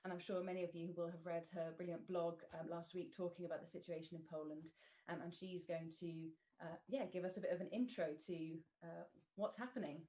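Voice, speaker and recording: A woman hosts a webinar from home in a fairly low, very mellow voice. Her delivery is informal and halting, with pauses as she introduces the panel over a video call. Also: fairly even intonation, rough recording. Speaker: webinar host.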